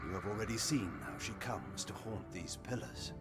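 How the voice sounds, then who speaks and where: A man playing an imperious, cynical vampire lord in a video game, delivering measured, archaic, theatrical speeches. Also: on the low side, lively intonation, close to an everyday voice. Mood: grave, portentous. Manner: grandiloquent, measured, archaic rhetoric. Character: imperious male vampire lord, cynical, cunning, grandly fatalistic. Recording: music behind the voice.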